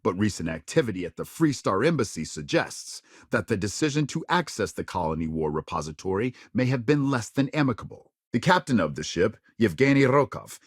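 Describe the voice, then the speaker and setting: A man's voice: mid-range voice, no echo, clean studio sound. A man playing a polished, dramatic news broadcaster in a sci-fi game, reading scripted reports in a punchy, theatrical newsreader delivery.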